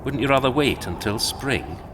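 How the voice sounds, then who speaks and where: An actor playing a sly, complaining antlered immortal brother, with a dry, theatrical, teasing manner in an audio drama. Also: male voice, medium pitch, lively intonation, normal speed, a bit bright, slight background noise, natural voice. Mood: teasing, exasperated.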